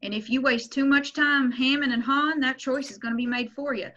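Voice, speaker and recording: A woman's voice: high-pitched, fairly even intonation, a little fast, sharp tone, dull audio. A woman reading the part of a blunt, lecturing grandfather in his sixties who owns a slaughterhouse, at an amateur table read. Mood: stern.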